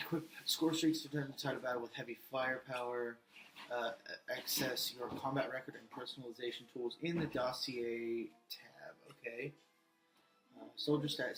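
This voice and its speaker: A male gaming video host with a medium-pitched voice. He commentates casually and rambles along, muttering bits to himself as he goes.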